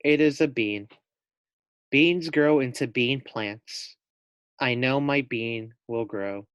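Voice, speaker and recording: A man's voice: mid-range voice, slow pace, fairly bright tone, smooth, no room sound, lo-fi sound. A man voicing a small, eager and stubbornly confident pet animal in a storybook, with earnest, emphatic words.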